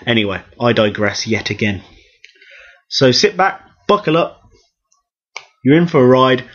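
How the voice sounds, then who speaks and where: A man with a hoarse, sore-throat voice, hosting a podcast in a chatty, enthusiastic, self-deprecating way. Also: mid-range voice, sing-song, a little slow. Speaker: podcast host. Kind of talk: chatty solo podcast intro and interview. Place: room at home. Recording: dull, lo-fi home podcast recording.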